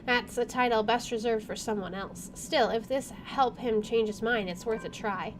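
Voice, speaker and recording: A woman with a fairly high voice narrates a visual novel as a gaming host. Her reading is expressive and story-like. A faint bed of game ambience runs behind her. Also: normal speed, noisy background.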